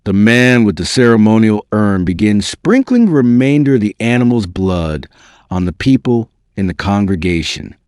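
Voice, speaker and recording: A man delivers dramatic scripted narration in a fairly low voice. His pitch moves in a very animated way from phrase to phrase. The recording is dry and close, with no sense of a room around him. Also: normal speed, smooth, no room sound. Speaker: narrator reading a book excerpt.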